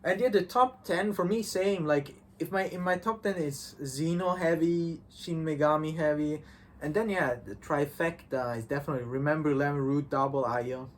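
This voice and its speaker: Male co-host, non-native English, higher-pitched voice, halting delivery, casual unscripted chat. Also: a little hiss or hum. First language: not English. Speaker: co-host of a visual novel review channel.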